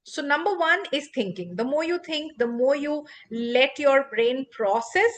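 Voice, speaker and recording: A woman whose first language is not English, hosting a podcast and explaining English fluency in an expressive, emphatic teacherly way. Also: high voice, measured pace. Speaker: podcast host and English coach. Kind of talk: instructive podcast conversation.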